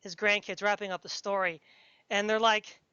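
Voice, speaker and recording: A woman's voice: medium pitch, flat delivery, moderate pace, sharp tone, clear, resonant tone, no echo, highs cut off. A woman chatting casually as a podcast interviewer, talking in a rambling, enthusiastic way like a fan.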